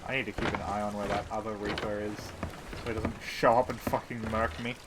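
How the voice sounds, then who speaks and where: A man's voice: on the low side, level tone, a little fast. Guy livestreaming a game, teasing chat, mumbles to himself, really noisy water and clicking sounds behind him. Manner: playful, teasing banter with chat. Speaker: live streamer. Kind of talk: casual gameplay stream chat.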